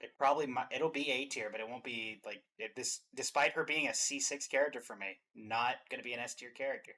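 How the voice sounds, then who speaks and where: A man's voice: mid-range voice, quick pace, pushed and bright, rough recording. A man hosting a reaction video in a casual, chatty style.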